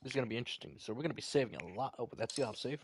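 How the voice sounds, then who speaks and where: A man reading a visual novel game aloud as dramatic narration, in a halting way. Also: mid-range voice, animated, noisy background. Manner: halting, rereads phrases when stumbling. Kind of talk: dramatic read-aloud narration with casual asides. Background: game piano music.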